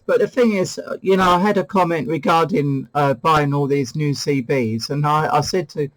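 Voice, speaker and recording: A British man with a slightly high voice hosts a casual two-way radio chat. He is talkative and informal, often doubling back and repeating phrases as he goes. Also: normal speed, poor audio. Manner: chatty, hesitant, repeats phrases. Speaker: host of a CB radio review video.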